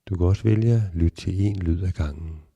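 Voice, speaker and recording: A man guiding a relaxation meditation in a calm, measured, instructional way with long pauses. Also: low voice, gravelly, breathy edge, lo-fi sound. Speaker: yoga nidra guide.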